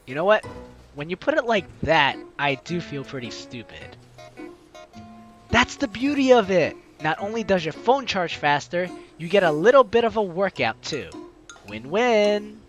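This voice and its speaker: A man's voice: high voice, very animated, unhurried, soft background music. A man hosting a let's play, reading the story aloud in a playful, dramatic way and adding his own commentary.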